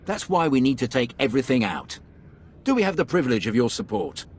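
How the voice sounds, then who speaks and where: An actor playing a tough, confident Imperial commander in a video game, speaking bluntly and emphatically. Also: male voice, mid-range voice, sing-song, fairly quick. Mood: confident, persuasive. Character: tough, confident male Imperial special-forces commander, gruffly determined. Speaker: military commander character.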